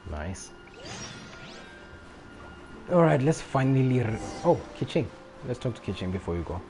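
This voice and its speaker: A man's voice: medium pitch, very animated, unhurried, a little hiss or hum. A man livestreaming a video game, chatting casually and reacting to the story as he plays. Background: loud game music.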